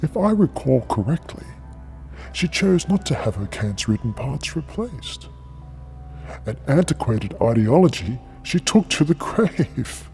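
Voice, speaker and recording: A man playing a cold, powerful corporate leader who speaks with measured authority in a sci-fi audio drama. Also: medium pitch, very animated, a little mellow, faint music behind.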